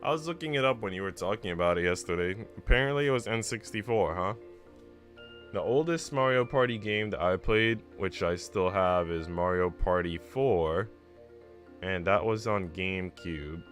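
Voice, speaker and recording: A man with a fairly low voice, streaming a story game. He reads and talks in a casual way. Some music plays behind him. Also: normal speed, fairly bright tone. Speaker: streamer reading a visual novel aloud. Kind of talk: casual read-aloud and game chat.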